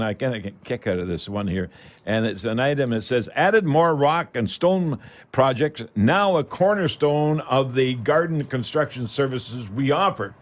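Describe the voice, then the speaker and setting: An older man with a Canadian accent hosting a talk radio show in a folksy, rambling, casual way, often ending with "eh". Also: mid-range voice.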